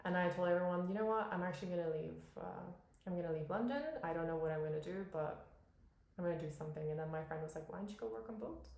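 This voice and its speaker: A woman's voice: on the low side, quick pace, darkish tone. A woman from Cyprus, a podcast guest telling personal stories in a casual, reflective way, thinking aloud. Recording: roomy, echoing sound.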